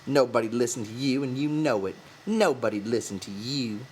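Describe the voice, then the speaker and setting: A man's voice: mid-range voice, lively intonation, normal speed, darkish tone, a little hiss or hum, voice pushed up, lightly acted, low-quality recording. A man voicing a scornful, menacing young ranch wife in an audiobook, taunting in rural vernacular. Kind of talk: audiobook character voice.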